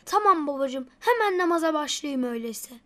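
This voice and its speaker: A woman's voice: high-pitched, animated, brisk, bright, forceful tone. A woman voicing an earnest, anxious little schoolboy in a children's cartoon. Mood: hopeful.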